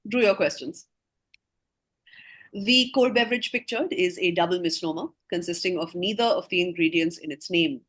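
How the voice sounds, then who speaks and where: A woman's voice: on the low side, moderate pace, a bit bright. A woman quizmaster reading scripted questions aloud, with casual asides to the players.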